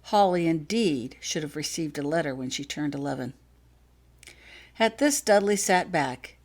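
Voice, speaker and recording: Woman narrating fiction, lower-pitched and animated. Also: measured pace. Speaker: author reading own fiction. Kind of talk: scripted audiobook narration.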